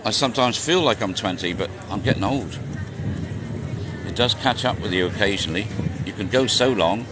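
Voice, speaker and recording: A man's voice: on the low side, expressive, normal speed. A man in his sixties chatting casually on a live stream as he rides through city streets, joking and answering viewer questions. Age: 60s. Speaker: vlogger. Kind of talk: casual live-stream chat while riding. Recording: noisy outdoor street recording. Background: traffic and street noise.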